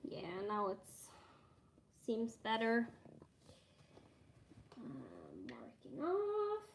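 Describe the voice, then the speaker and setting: Slow, hesitant Norwegian-accented woman. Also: fairly high pitch, huge pitch swings, a little hiss or hum. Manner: halting, thinking aloud, frequent fillers. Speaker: cross-stitch streamer. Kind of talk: casual live stitch-along chat.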